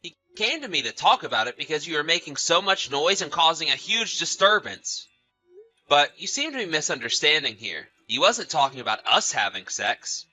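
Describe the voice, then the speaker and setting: A man's voice: a little high, big pitch swings, moderate pace, bright, forceful tone, soft background music, exaggerated voice, low-quality recording. A man acting a betrayed husband in a dramatized story, polite but suspicious and accusing, with a theatrical, emphatic delivery. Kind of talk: dramatized text-message story narration.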